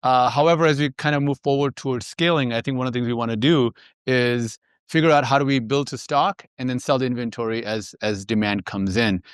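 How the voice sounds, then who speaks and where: A man speaking as a finance executive on an earnings call, presenting in a measured, businesslike way. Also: mid-range voice, fairly even intonation, clear, resonant tone, no echo, studio quality.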